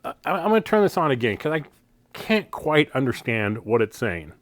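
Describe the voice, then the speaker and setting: A man's voice: mid-range voice, sing-song, normal speed. A man with an American accent giving a casual, unscripted gear review in a plain-spoken, conversational way. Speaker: product reviewer, channel host.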